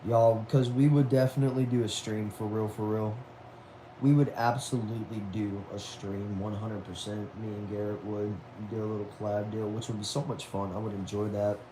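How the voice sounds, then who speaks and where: A man's voice: fairly low pitch, level tone, normal speed, noisy background. Laid-back young man chatting on a livestream. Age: about 20. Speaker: livestream host. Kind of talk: casual livestream chat, reading viewer messages aloud. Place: at home.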